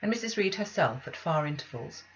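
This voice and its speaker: A woman's voice: low voice, big pitch swings, fairly quick, lo-fi sound, slight background noise. A woman reading a classic novel aloud as scripted literary audiobook narration. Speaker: audiobook reader.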